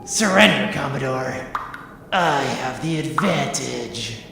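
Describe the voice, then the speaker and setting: Creaky, earnest space officer acted by a man. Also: mid-range voice, animated, takes it slowly, pushed and bright, voice pushed down, full character voice. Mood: commanding. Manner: melodramatic, hesitant then commanding. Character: earnest, dutiful middle-aged male space officer, exasperated hero. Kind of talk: comic space-opera audio drama acting. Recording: very echoey hall reverb, faint music.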